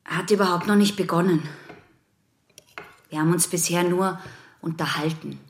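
An actress in a German radio drama playing a guarded, defiant eighteen-year-old patient, terse and skeptical. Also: female voice, fairly low pitch, normal speed, a little hiss or hum, close to an everyday voice.

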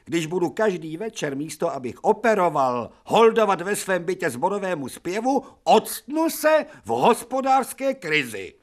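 An actor playing an imperious, irascible older professor, declaiming sarcastic rhetorical questions in a theatrical radio drama. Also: male voice, high-pitched, big pitch swings, hardly any pauses. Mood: scornful. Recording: music faintly behind the voice.

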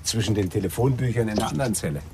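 A man's voice: fairly low pitch, racing, noisy background, close to an everyday voice. An actor playing a keen, deferential police sergeant reporting to his superior in a radio crime drama. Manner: deferential, eager, matter-of-fact. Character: dutiful male police sergeant, keen subordinate.

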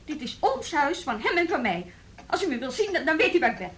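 An actress playing a proud, wounded young woman in a radio drama, speaking haltingly and with indignant emphasis. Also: high voice, sing-song, fairly bright tone. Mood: defiant, exasperated. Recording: noisy radio play recording.

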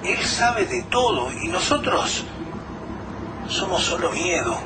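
Radio drama casting: distrustful, anxious Argentine man, colloquial, high-voiced, heard off-mic through noise. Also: sing-song, slow pace, heavily acted. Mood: fearful, distrustful. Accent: Rioplatense Argentine Spanish. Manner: colloquial, urgent. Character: Lucas, anxious distrustful man surviving a deadly snowfall. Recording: noisy, distant from the mic.

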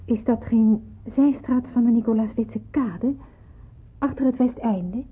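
A muffled, phone-like old radio recording carries a very husky young woman. She is an anxious young nurse in a wartime drama, hesitant and respectful, with a slightly high voice. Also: measured pace. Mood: resigned, obedient. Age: young adult. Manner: hesitant, deferential. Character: anxious, questioning seventeen-year-old nurse in wartime hiding. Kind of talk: Dutch radio play acting.

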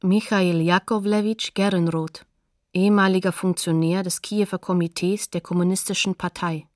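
A woman's voice: on the low side, fairly even intonation, moderate pace, a little mellow, no echo, clean studio sound, lightly acted. A woman as the announcer of sources in a documentary radio play, neutral and factual.

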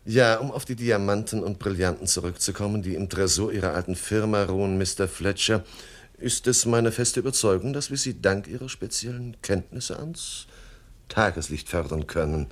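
An actor playing a suave, wordy swindler boss with mock-courteous manners in a radio crime comedy. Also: male voice, on the low side, moderate pace, close to an everyday voice. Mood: smugly persuasive. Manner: verbose, mock-courteous, hesitant filler words. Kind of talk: 1970s German radio crime comedy acting.

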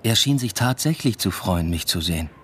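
First-person radio drama narrator: low-pitched, introspective intellectual, subdued literary delivery. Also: male voice, expressive, a little fast, breathy edge. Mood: measured, wry. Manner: subdued, reflective. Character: introspective male academic narrating his own love affair.

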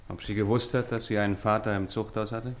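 A man playing a flat, low-voiced police sergeant. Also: fast, close to an everyday voice. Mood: sober. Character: sober, loyal male police sergeant, subordinate. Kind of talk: old German radio crime drama acting.

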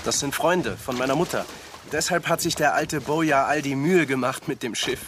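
A man's voice: medium pitch, normal speed, noisy background, lightly acted. An actor playing an easygoing, well-off young man in conversational radio play dialogue. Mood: casual, proud. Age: young adult.

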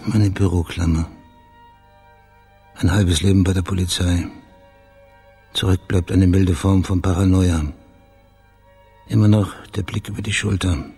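A man's voice: low voice, flat delivery, unhurried, long pauses, mellow, slightly rough, faint music behind, exaggerated voice. An actor playing a wry, laconic private investigator and former policeman in a radio crime drama. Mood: brooding, watchful. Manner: dry, laconic, understated. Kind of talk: radio crime drama acting with narrated inner monologue.

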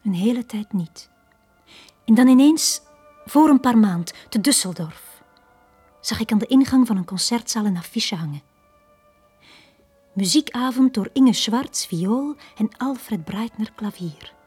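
A Flemish actress playing a lonely, downtrodden young bar girl in a radio drama, speaking in a hushed, halting, confiding way. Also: fairly high pitch, expressive, faint music behind, exaggerated voice. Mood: melancholy. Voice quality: breathy, hushed.

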